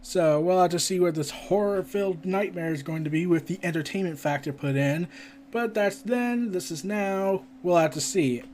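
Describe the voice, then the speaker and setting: High-voiced man presenting news, noisy recording. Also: moderate pace, darkish tone. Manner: stumbling when reading aloud, with chatty asides. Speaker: podcast host. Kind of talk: casual entertainment and gaming news commentary.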